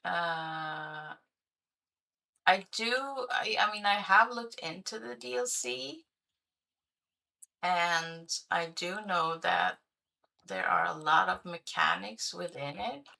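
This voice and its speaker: Slow, gravelly woman streamer. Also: on the low side, pushed and bright. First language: Swedish. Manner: chatty, thinking aloud, hesitant with fillers. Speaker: game streamer. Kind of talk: casual livestream commentary while playing.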